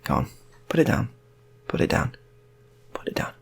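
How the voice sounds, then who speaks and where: A man voicing an exasperated unarmed hostage who scolds and pleads in a halting, repetitive, fatherly way, in an improvised story. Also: a little hiss or hum, lightly acted. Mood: coaxing.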